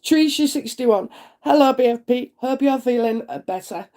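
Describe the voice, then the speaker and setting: A woman's voice: sits high, expressive, moderate pace, dark tone. A woman with a congested head cold, chatting on a live stream as a psychic reader and answering viewer comments in a homely way. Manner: chatty and homely, many "uh" fillers, asks "isn't it?".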